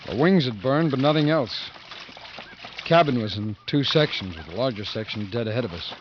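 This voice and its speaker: A man's voice: medium pitch, animated, fairly quick, very noisy, natural voice. An actor playing a tense, commanding escaped convict who narrates his own story in a 1950s radio suspense drama. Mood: grim, narrating. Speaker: lead actor and first-person narrator. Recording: dull vintage radio recording, music behind.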